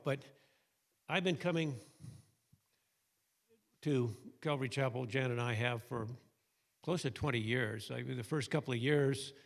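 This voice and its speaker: An older man giving an informal personal talk to a congregation, halting, reflective and plainspoken. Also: mid-range voice. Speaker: church member speaking. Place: large hall, speaking to a gathering. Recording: echoey hall with long reverb.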